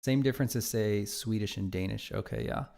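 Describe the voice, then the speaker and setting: A man with a low, gravelly voice chats casually on a live stream as he works on his game. He thinks out loud and answers viewer messages as they come in. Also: brisk. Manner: thinks aloud and reads viewer chat aloud. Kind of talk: casual livestream chat.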